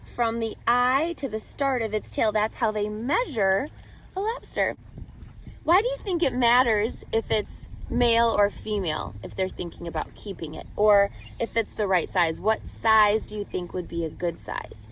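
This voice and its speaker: A woman reading a picture book aloud to children as a teacher, expressively, pausing to ask guiding questions and explain. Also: mid-range voice, lively intonation, a bit bright, a little hiss or hum, poor audio.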